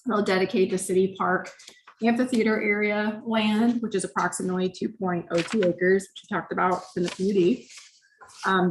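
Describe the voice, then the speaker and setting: A soft-voiced woman with a Midwestern American accent giving a city staff update on development agreements, in a conversational, apologetic way. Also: lowish voice, slight background noise, low-quality recording. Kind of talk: reading a staff report.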